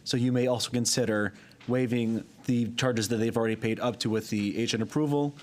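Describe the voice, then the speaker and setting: A man's voice: medium pitch, fairly even intonation, darkish tone. A man on town staff explaining fees and procedure to a board at a public meeting, with frequent ums and restarts. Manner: halting, with frequent ums and self-corrections. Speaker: town wetlands staff member. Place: town hall meeting room.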